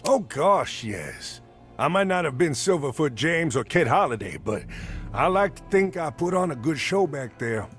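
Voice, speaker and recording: Earnest musician, lively swooping pitch, hesitant folksy delivery, game dialogue, music behind. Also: male voice, normal speed. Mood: upbeat, sheepish. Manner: hesitant, folksy, mild exclamations. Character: earnest, chipper male jazz musician and party organizer. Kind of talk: voiced dialogue in a narrative RPG.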